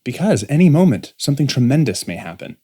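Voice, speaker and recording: A man's voice: mid-range voice, big pitch swings, fast, mellow. A man playing a secretive, earnest young son in a full-cast audiobook drama, guarded and intense. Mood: guarded urgency.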